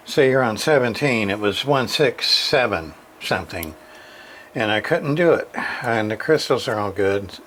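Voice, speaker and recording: A man giving a casual, halting walkthrough of a radio repair, full of fillers and restarts. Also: mid-range voice, fairly bright tone, slight background noise, poor audio.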